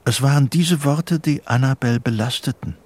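An actor as the narrator of a psychological thriller, reading scripted radio drama narration. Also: male voice, mid-range voice, normal speed, lightly acted. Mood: measured, tense.

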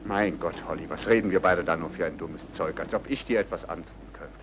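An actor playing a suave, smugly amoral racketeer in a vintage radio play, with a nonchalant, theatrical delivery. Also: male voice, low-pitched, a little fast, noisy background, natural voice. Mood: breezy, philosophizing. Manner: theatrical, nonchalant, swinging from muttering to declaiming.

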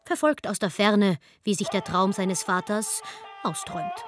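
A woman narrating a German radio drama as a scripted story, in a measured storytelling manner. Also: mid-range voice, expressive, natural voice. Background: music.

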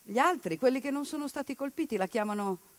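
A woman actress performing a theatrical monologue, narrating with passion and dramatic swings between hushed and loud delivery. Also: high-pitched, animated, fast talker, no room sound. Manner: impassioned, dramatic swings in loudness. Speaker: stage actress. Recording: radio broadcast of a stage piece.

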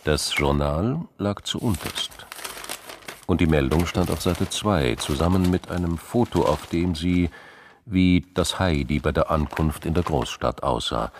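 A man's voice: rumbling low, unhurried, noisy background. A man reading scripted narration for a crime radio drama.

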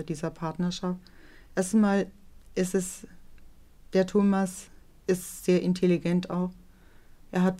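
A middle-aged woman speaking candidly and reflectively as a mother in a documentary interview, somewhat halting and often adding tag questions to seek agreement. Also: fairly low pitch, mellow. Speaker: interviewee, mother of a teenage daughter.

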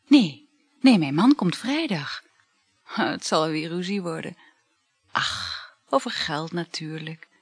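A woman's voice: fairly low pitch, husky, dry recording. An actress playing a chatty, flirtatious married woman in a Dutch radio play, effusive and full of sighs.

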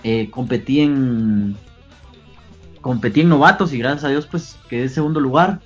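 A young Guatemalan man in his twenties with a slightly husky voice, answering questions in an earnest, halting way. The sound is dull and lo-fi, with faint music underneath. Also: mid-range voice. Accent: Guatemalan Spanish. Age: 20s. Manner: earnest, pauses with many fillers. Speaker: guest athlete. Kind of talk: interview answers.

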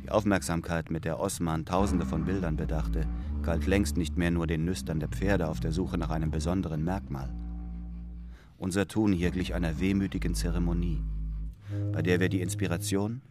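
Man playing earnest wistful storyteller, deep low voice, measured reflective delivery, radio play, music underneath. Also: fairly even intonation, lightly acted. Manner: measured, reflective first-person narration. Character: earnest male apprentice illustrator, wistful narrator. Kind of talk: literary radio drama narration.

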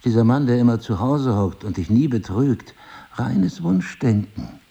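An actor playing a brooding, suspicious middle-aged husband and crime writer with amnesia in an intimate audio drama, gravelly and husky, probing and sardonic. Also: male voice, medium pitch, expressive, measured pace, warm tone, lo-fi sound, full character voice. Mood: accusing.

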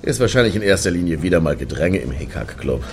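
An actor playing a crude, grumbling, pedantic clubgoer in a satirical radio play, blunt and opinionated. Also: male voice, deep voice, sing-song, fast, a little mellow.

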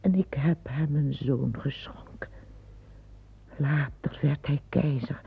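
An actress playing an old, tired empress in a radio play, speaking in a breathy, hushed voice that often sinks to a whisper. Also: female voice, deep voice, takes it slowly, full character voice. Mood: weary. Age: older. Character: old weary empress, fearful and plain-spoken. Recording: tinny lo-fi old radio recording.

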